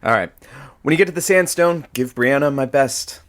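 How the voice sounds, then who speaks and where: A man's voice: mid-range voice, expressive, normal speed, fairly bright tone, no echo, studio quality, natural voice. A man playing an affable radio show producer in an audio drama, casual and teasing in his delivery. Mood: friendly teasing. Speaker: radio show sidekick and announcer. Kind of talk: audio drama banter and credits.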